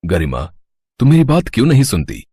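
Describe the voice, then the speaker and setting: A man's voice: medium pitch, wildly swooping pitch, brisk, dark tone, slightly rough, no echo, studio quality, exaggerated voice. A man voicing a worried, protective poor father who warns his daughter in a cartoon story. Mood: warning. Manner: pleading, warning.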